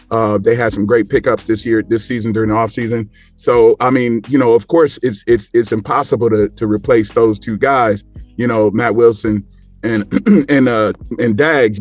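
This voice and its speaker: A man chatting casually about sports as a panel guest, repeating words haltingly as he builds his points. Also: on the low side.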